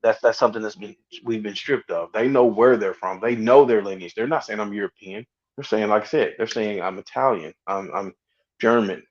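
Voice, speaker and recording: A man's voice: on the low side, a little fast, muffled recording. A man in a casual discussion over a call, arguing his point and firing back challenging questions. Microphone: video call.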